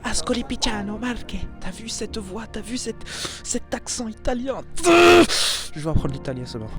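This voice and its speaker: A man's voice: sits high, very animated, unhurried, a bit bright, faint music behind. A young man narrating his own footage in comic voice-over, mock-dramatic and self-mocking.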